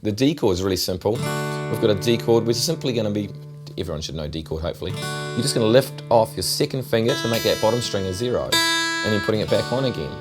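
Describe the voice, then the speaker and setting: A middle-aged man with a New Zealand accent, giving a relaxed, chatty guitar lesson. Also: on the low side, fast. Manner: relaxed, chatty, repeats himself while demonstrating. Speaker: guitar teacher. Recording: loud guitar over the voice. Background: acoustic guitar playing.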